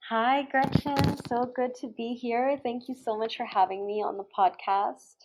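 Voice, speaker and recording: A woman's voice: a little high, fairly even intonation, normal speed, noisy background. A young woman from Egypt and Thailand telling her story informally as a guest, often tossing in "like" and "you know.". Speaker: podcast guest, storyteller and photographer. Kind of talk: casual conversational storytelling.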